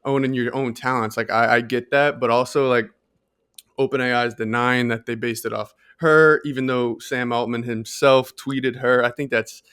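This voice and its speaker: A man's voice: mid-range voice, fairly even intonation, moderate pace, fairly bright tone, smooth, no echo, studio quality. A man co-hosting a podcast in a casual, conversational style, padding his thoughts with filler phrases. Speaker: podcast co-host. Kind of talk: casual chat.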